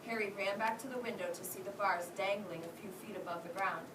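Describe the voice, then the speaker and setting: A woman's voice: medium pitch, fast. A woman schoolteacher reading aloud to her class and prompting the children with questions. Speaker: elementary school teacher. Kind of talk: interactive read-aloud to a class. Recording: roomy, distant mic, clearly noisy.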